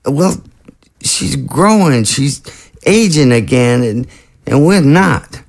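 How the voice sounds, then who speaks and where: A man's voice: mid-range voice, animated, slow pace, creaky. Man acting as troubled, tender undead president. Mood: anguished, hesitant. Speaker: voice actor. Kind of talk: audio drama dialogue.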